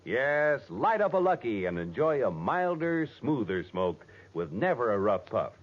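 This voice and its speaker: A man's voice: medium pitch, sing-song, a little slow. An American man delivering a scripted 1940s radio advertisement with emphatic, slogan-like phrasing. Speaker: commercial announcer. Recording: dull 1940s radio recording.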